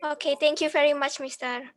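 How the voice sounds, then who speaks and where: A woman with an Indonesian accent, speaking halting learner's English as a student politely asking questions. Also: high voice, little pitch movement. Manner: halting non-native English.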